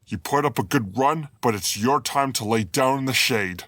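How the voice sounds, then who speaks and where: A man's voice: mid-range voice, moderate pace, fairly bright tone, dry recording, studio quality, lightly acted. A man voicing a stubborn, hungry and vengeful predator animal in a dramatic comic dub. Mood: menacing. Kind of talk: dramatic comic fandub acting.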